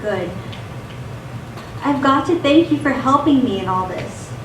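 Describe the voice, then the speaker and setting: A woman's voice: high voice, lively intonation, unhurried. A woman acting an anxious, trusting corporate whistleblower in a live radio play. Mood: earnest, relieved. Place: theatre stage. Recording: echoey stage, distant mic, background noise.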